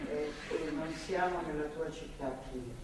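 Actress as a low-voiced, motherly, devout captor. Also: moderate pace, close to an everyday voice. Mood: earnest, firm. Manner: earnest, soothing, pious. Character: gentle, devout, motherly woman captor, fanatical believer. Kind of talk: stage drama acting in a radio broadcast. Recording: very echoey hall, distant mic, noisy.

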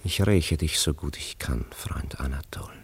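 An actor playing a witty, worldly bachelor in a classic radio play, urbane and sardonic. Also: male voice, extremely low pitch, measured pace, gravelly, breathy edge, full character voice. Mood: coaxing. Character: witty, ironic, worldly male bachelor friend.

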